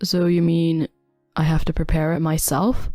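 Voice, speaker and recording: A woman's voice: sits low, level tone, normal speed, dark tone, dry recording, soft background music, lightly acted. Condescending young husband, voiced by a woman. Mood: scornful. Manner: curt, condescending. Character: dismissive young husband, cold and scolding.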